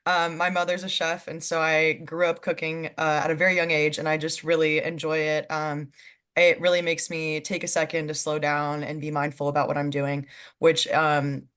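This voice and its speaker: A woman's voice: sits low, level tone, moderate pace, a bit bright, clear, resonant tone. A young woman in her twenties from California, chatting casually as a guest on a video call, with lots of "um"s and easy laughs. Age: 20s. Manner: chatty, many "um"s, laughs easily. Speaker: guest panelist.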